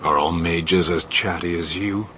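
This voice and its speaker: Casting: stern giant warrior, fantasy game. Deep voice, measured deadpan, faint noise behind. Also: male voice. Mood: dry. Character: stern, stoic, huge male Qunari warrior. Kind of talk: fantasy video game voice acting.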